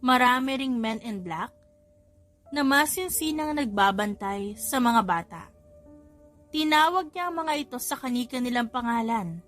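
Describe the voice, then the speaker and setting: A woman's voice: a little high, fairly bright tone. A woman reading a story as an audiobook narrator in scripted narration.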